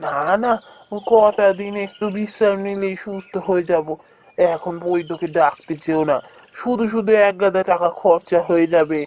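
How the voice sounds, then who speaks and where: A woman's voice: on the low side, moderate pace, darkish tone, natural voice. A woman voicing an ailing, anxious village husband in a cartoon, speaking in a weary, pleading way. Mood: weary, unwell. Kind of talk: cartoon voice acting.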